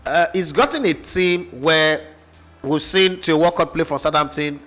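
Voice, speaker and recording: A man with a Nigerian accent holds forth on football in an opinionated, passionate way. He keeps throwing rhetorical questions at his listener. His voice sits a little higher than most men's. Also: fairly even intonation, normal speed, a bit bright. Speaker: sports show host. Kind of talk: opinionated football analysis chat.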